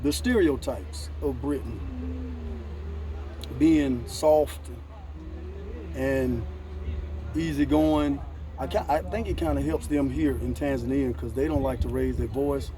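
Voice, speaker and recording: An American man hosting a casual outdoor conversation, relaxed and repeating his phrases. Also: mid-range voice, slow, pauses often, crowd noise, poor audio. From United States. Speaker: host.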